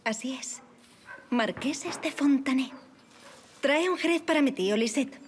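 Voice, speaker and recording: High-voiced, playful young marquise. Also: female voice, expressive, a little slow, slight background noise. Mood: gracious. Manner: poised, playful, teasing. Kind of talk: audio drama acting.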